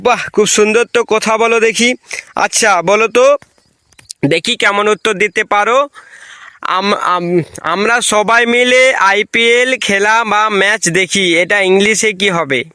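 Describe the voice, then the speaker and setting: A man's voice: sits high, moderate pace, bright, forceful tone, smooth, no echo, clean studio sound. A man voicing an easily amazed, effusive headmaster in a comedy cartoon, exclaiming and repeating himself in a rural West Bengal accent. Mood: pleased, quizzing. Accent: rural West Bengal (Bankura). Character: gushing, easily amazed visiting male headmaster. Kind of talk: comic cartoon voice acting.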